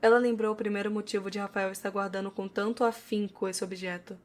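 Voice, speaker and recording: A woman's voice: medium pitch, little pitch movement, fast. A woman with a Brazilian accent reading scripted narration for an audio novel.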